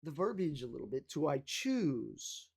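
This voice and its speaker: A mindset coach giving an emphatic motivational talk, repeating key phrases, with a slight cough. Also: male voice, a little high, big pitch swings, moderate pace, vocal fry, darkish tone, husky.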